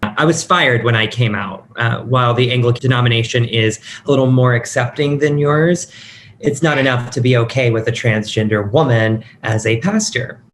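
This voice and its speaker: A man's voice: medium pitch, normal speed, a bit bright, close to an everyday voice. An actor with a male-sounding voice playing a frank, chatty transgender woman who used to be a pastor, in a script table read. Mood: wistful, frank. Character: transgender woman, former Anglican pastor, warm, frank, self-assured. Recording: audible background noise.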